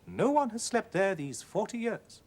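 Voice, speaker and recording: Deferential housekeeper woman, performed by a male narrator, raised pitch, hesitant and drawn-out, storybook ghost tale. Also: sing-song, darkish tone. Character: respectful female housekeeper of an old country house.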